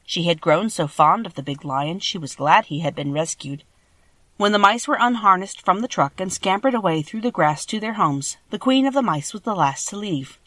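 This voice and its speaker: Animated woman narrating a storybook. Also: fairly low pitch, normal speed, no room sound. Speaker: audiobook narrator.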